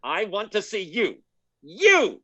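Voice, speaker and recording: Guy doing a bossy old rich man in a radio play, slow but swooping all over, thin muffled sound. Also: sits high, wildly swooping pitch, a bit bright, no echo, full character voice. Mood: furious, demanding. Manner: imperious, barking orders. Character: indignant, domineering rich old man, Mister Jeter. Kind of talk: old-time radio detective drama acting.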